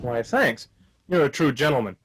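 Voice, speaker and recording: A man's voice: mid-range voice, animated, normal speed, background noise, lightly acted. A man playing a naive, polite and rather dim hero in a comic radio play, speaking in a guileless, earnest way. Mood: naive curiosity. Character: naive, polite, dim-witted male hero held as patient.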